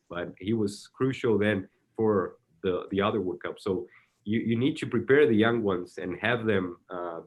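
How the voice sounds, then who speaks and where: Low-voiced Brazilian man with halting speech. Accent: Brazilian Portuguese. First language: Portuguese. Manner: halting, repeats words often while searching for them. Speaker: soccer technical director. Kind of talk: casual interview answers.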